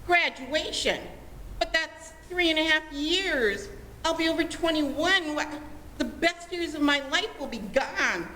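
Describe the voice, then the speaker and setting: Woman playing melodramatic teen daughter, high-pitched, echoey hall. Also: animated, measured pace, sharp tone, full character voice. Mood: indignant, wounded. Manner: gushing, earnest, dramatic. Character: dreamy, melodramatic seventeen-year-old daughter in love. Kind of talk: old-time radio comedy acting. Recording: reverberant hall, faint noise.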